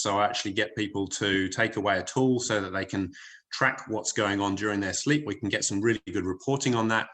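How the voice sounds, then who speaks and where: A man from the UK with an English accent softened by years in New Zealand, giving a chatty, filler-heavy wellness talk on a video call. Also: fairly low pitch. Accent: English with a slight New Zealand tinge. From United Kingdom. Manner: chatty, hesitant, many fillers and restarts. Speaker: wellness presenter. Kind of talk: online corporate presentation.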